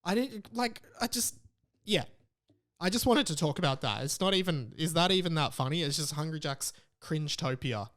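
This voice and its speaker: Higher-voiced Australian man podcasting casually. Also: lively intonation, normal speed, a bit bright. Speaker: podcast host. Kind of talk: casual solo podcast talk.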